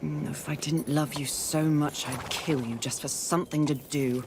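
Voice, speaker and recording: A woman's voice: sits low, fairly even intonation, moderate pace, background noise. An actress playing an arrogant, impatient and battle-hungry horsewoman of the apocalypse in a video game, with sardonic delivery. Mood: bored. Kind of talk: video game cutscene acting.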